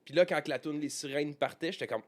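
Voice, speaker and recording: Animated co-host telling a story. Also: male voice, on the high side, a little fast. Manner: animated storytelling, mixes in English words. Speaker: podcast co-host. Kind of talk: casual music review chat.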